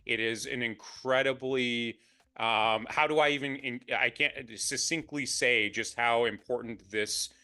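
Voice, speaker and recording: A man's voice: mid-range voice, measured pace, sharp tone, clear, resonant tone. Upbeat male podcast host. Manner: enthusiastic, upbeat. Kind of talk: casual interview.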